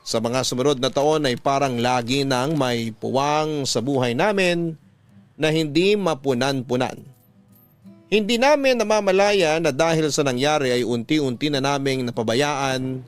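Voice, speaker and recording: A man's voice: mid-range voice, no room sound, close to an everyday voice. A man narrating as a bereaved husband in a Filipino radio drama, reading a letter in a solemn, heartfelt way. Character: bereaved husband recounting his sorrowful marriage story.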